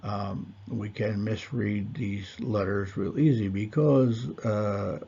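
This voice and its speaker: Slow husky-voiced man teaching. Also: lowish voice, warm tone, highs cut off. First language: not Hebrew. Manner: measured, deliberate, with fillers and pauses. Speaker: Torah teacher. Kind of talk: slow lecture.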